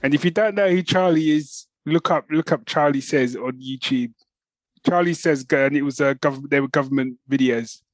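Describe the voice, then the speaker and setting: Podcast co-host: British man, middle-aged, mid-pitched voice, informal and chatty, recorded dry. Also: moderate pace, no room sound, poor audio. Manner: informal, often says man and you know. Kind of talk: casual chat.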